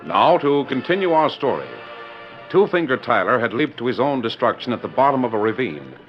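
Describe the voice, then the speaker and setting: A man's voice: medium pitch, very animated, brisk, over music. A male announcer with an American accent, giving formal, theatrical narration in the style of a 1940s radio drama. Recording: dull lo-fi 1940s radio recording.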